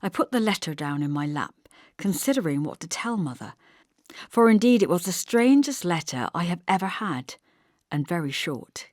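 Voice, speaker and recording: Low-pitched woman narrating an audiobook. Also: lively intonation, normal speed, darkish tone, no echo, studio quality. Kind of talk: scripted literary narration, first-person storytelling.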